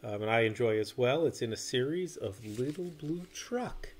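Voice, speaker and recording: A man in his forties reading a children's storybook aloud in a measured, sing-song way. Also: medium pitch, lively intonation, a little hiss or hum. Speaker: storybook reader. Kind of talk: expressive children's read-aloud. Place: small indoor room.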